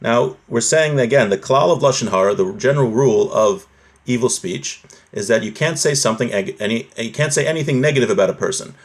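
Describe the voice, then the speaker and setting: A man's voice: mid-range voice, a little fast. A man teaching a live religious class, reading from a text, translating it and explaining it point by point, often rephrasing.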